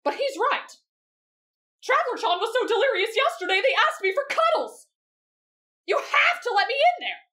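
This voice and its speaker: A woman voicing an excitable, lovestruck teenage boy swordsman in an anime roleplay, whining and dramatic. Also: very high-pitched, moderate pace, long pauses, voice pushed up, heavily acted. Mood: pleading excitement. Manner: dramatic, whining, shrieking outbursts.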